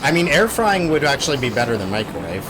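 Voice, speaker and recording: A man's voice: medium pitch, very animated, fast, noisy background. A Canadian man chatting casually and bluntly as a game streamer, with some swearing. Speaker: speedrun streamer. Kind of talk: casual chat while gaming. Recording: game soundtrack under the voice. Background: loud game music and effects.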